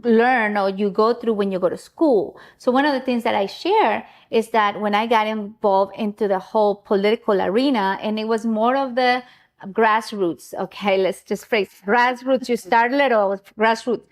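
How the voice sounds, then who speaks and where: A woman's voice: fairly high pitch, moderate pace. A woman from Ecuador hosting a casual interview show, effusive and chatty, sometimes tripping over her words. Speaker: talk show host.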